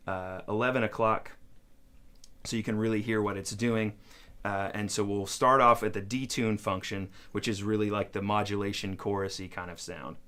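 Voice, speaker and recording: A man with a fairly low voice presents a gear demo. He explains things step by step in an informal, conversational tutorial style, as if talking to fellow hobbyists. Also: fairly bright tone, clean tone. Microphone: studio microphone into audio interface.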